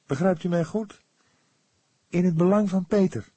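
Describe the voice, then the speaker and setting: Audiobook role: protective young woman, firm and earnest, raised pitch, husky edge played by a man. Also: a little slow, mellow, no echo. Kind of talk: audiobook character dialogue.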